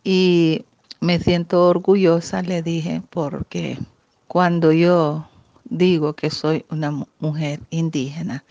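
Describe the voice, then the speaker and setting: Woman from Nicaragua, Miskito first language, earnest, measured, dry muffled sound. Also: low-pitched, flat delivery, darkish tone, no room sound. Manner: earnest, deliberate, frequent "¿verdad?" tag. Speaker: guest. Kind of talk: interview answers. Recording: muffled lo-fi audio.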